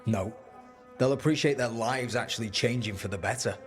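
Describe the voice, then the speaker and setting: A man's voice: medium pitch, normal speed, soft background music, natural voice. A man playing a blunt, streetwise resistance fighter in a video game, plain-spoken and sardonic. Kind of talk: video game character dialogue.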